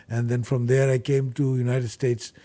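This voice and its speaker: A man with a Bangladeshi accent being interviewed, explaining his work earnestly and haltingly, often repeating words. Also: medium pitch, level tone, mellow. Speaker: guest, charity furniture bank leader.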